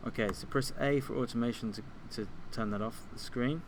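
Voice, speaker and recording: A man giving an informal step-by-step software tutorial as a music tutor, thinking aloud a little hesitantly. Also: mid-range voice, brisk, background noise.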